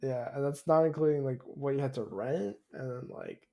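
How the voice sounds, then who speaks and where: A man's voice: medium pitch, normal speed, vocal fry, warm tone. A young man co-hosting a podcast in casual chat, often repeating himself as he tells anecdotes. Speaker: podcast co-host.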